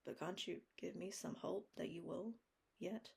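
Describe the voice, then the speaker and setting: A woman reading an audiobook voices an earnest young man, speaking quietly and low. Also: fairly even intonation, a little slow, vocal fry, breathy edge, exaggerated voice. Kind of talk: audiobook character voice.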